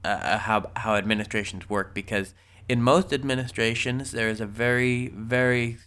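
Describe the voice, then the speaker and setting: A man's voice: mid-range voice, fairly even intonation, moderate pace, sharp tone, smooth. A man with a cold, sniffly, co-hosting a casual podcast and rambling through the news with many ums and restarts. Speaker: podcast co-host.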